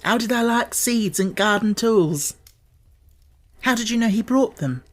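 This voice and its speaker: A man's voice: sits high, animated, a little slow, fairly bright tone, pitched above the performer's own voice. A man voicing a stubborn, eager little girl in an audiobook, speaking with earnest determination. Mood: anxious.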